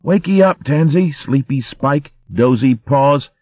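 A man's voice: mid-range voice, animated, slow pace, no echo, dull audio, voice pushed up. A man voicing a small mischievous young mouse in a spoken storybook. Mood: cheeky. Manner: cheeky, sing-song teasing.

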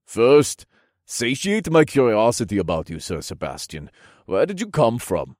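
A man voicing a regal, genial fantasy king with formal, measured phrasing in an audiobook. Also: mid-range voice, wildly swooping pitch, darkish tone, no echo, studio quality, pitched above the performer's own voice. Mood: curious, stately.

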